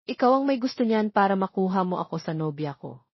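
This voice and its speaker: Woman as a defensive young boyfriend, husky. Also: mid-range voice, expressive, fast talker, dry recording, highs cut off. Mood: irritated, defensive. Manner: cold, defensive, threatening. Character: defensive, cold young man torn between two women.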